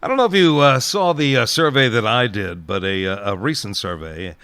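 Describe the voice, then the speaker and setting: A man's voice: fairly low pitch, fairly quick, bright, forceful tone, dry recording. A middle-aged man presenting an oldies radio show as its DJ, chatting casually between songs with nostalgic asides. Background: oldies music.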